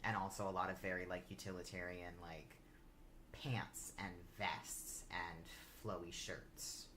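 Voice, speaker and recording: A game master improvising narration for a tabletop game in a casual, halting way. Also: low-pitched, little pitch movement. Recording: faint noise behind.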